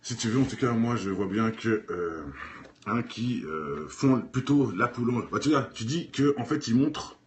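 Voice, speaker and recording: A man's voice: mid-range voice, animated, moderate pace, slight background noise. A man hosting an informal, off-the-cuff reaction video in an opinionated, argumentative, colloquial way. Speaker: video host, commentator.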